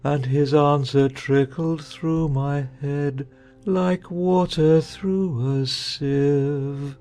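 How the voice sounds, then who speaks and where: An actor playing a dreamy, gentle knight who recites a nonsense ballad theatrically over music. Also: male voice, fairly high pitch, steady tone, drawn-out words. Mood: dreamy, measured. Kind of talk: slow theatrical verse recital.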